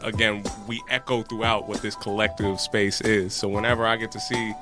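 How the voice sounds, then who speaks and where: An American man hosting a podcast, chatting in animated street slang with some swearing and talking to his guests like brothers. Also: lowish voice, moderate pace, music in the background. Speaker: podcast host. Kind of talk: casual hype podcast chat.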